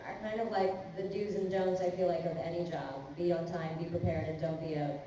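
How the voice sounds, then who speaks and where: A woman voice actor answering fan questions on a convention panel, chatty and quick to laugh, with many restarts. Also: lowish voice, fairly even intonation, mellow, a little hiss or hum. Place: large convention hall. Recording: echoey hall from far off with crowd.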